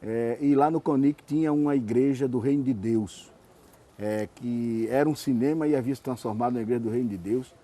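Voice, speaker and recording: Man, mellow relaxed voice, mid pitch, interview host, repeats himself. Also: brisk. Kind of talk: conversational interview. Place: TV studio.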